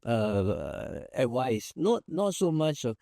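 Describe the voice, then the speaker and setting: Easygoing Malaysian man, mellow voice. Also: mid-range voice, very animated, takes it slowly, gravelly, no room sound, studio quality. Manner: easygoing, rambling, many fillers and restarts. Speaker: managing partner of a small firm, guest. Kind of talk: casual interview answers.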